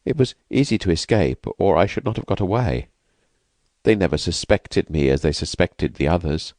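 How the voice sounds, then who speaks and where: A man voicing a frightened, fragile young woman who has escaped from an asylum, speaking softly and hesitantly. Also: sits low, big pitch swings, moderate pace, no echo. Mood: fearful, wistful. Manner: hesitant, vacant, soft-spoken.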